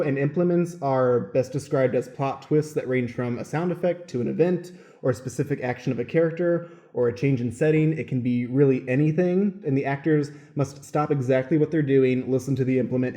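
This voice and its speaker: A man's voice: mid-range voice, brisk, warm tone. A man hosting a podcast as stage manager, explaining how the show works. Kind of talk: podcast introduction, explaining format.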